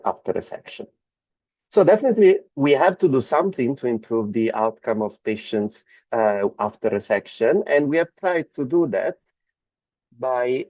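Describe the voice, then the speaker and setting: A man's voice: lowish voice, mellow, no echo. A man with an Italian accent, a medical oncologist lecturing over a video call, hesitant with frequent fillers and restarts. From Italy. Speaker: medical oncologist presenting a webinar. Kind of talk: academic webinar lecture. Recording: dull lo-fi call audio.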